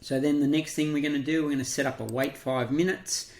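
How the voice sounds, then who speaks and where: An Australian man giving an unscripted software walkthrough, talking through each step haltingly as he thinks aloud. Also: on the high side, fairly even intonation, a little hiss or hum. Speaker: software tutorial presenter. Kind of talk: unscripted screen-share walkthrough.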